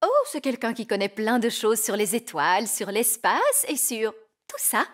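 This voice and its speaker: An actress voicing a bossy, matter-of-fact fairy nanny who scolds and answers in an exasperated way, in a French cartoon dub. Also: female voice, on the high side, animated, normal speed. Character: bossy, grumpy middle-aged fairy nanny.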